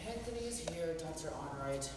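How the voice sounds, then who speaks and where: An actor on stage playing a skeptical young man who brushes off talk of ghosts in a brisk, dismissive way. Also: fairly high pitch, expressive, noisy background, close to an everyday voice. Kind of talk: amateur stage acting. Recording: echoey hall from far off.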